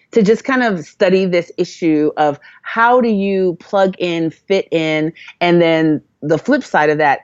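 A woman's voice: on the low side, no echo, highs cut off. A woman from Jamaica, a guest in an interview, talking earnestly and thoughtfully with many fillers and restarts. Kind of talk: reflective conversational storytelling.

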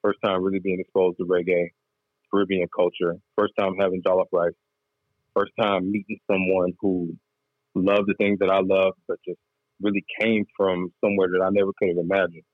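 A man's voice: low voice, little pitch movement, normal speed, no echo, narrow, tinny audio. A man guest on a chat show, telling his story candidly in laid-back slang. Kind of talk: casual interview chat.

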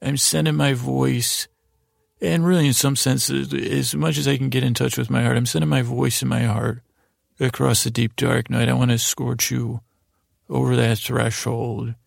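A man hosting a sleep podcast with a rambling, halting bedtime monologue in a gravelly, creaky voice, often trailing off mid-sentence. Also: mid-range voice, flat delivery, no room sound, studio quality. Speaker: podcast host.

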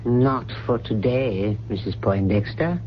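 A man's voice: mid-range voice, expressive, slow. A loyal butler in a radio drama. He is formal and deferential, discreetly attentive to his employer. The recording is muffled, with faint hiss. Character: faithful, deferential servant of a wealthy household. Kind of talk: radio mystery drama acting. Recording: dull, hissy 1970s radio recording.

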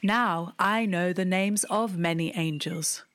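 A woman, telling a personal memoir in a wry, deliberate way, with hushed asides. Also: on the low side. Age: 30s. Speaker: storyteller. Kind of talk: memoir storytelling.

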